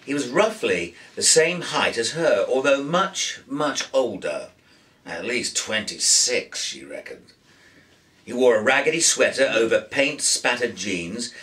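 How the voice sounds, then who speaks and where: A man's voice: medium pitch, sing-song, a little slow, a bit bright. Man narrating a story, distant from mic. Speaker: actor reading a novel aloud.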